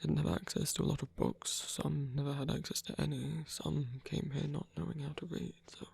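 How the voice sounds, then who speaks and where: A performer of unclear sex voicing a soft-spoken, weary, tender villain lover in a romantic ASMR roleplay, breathy and often whispery, with a hesitant, gently wry delivery. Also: medium pitch, flat delivery, brisk, full character voice. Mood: earnest, halting.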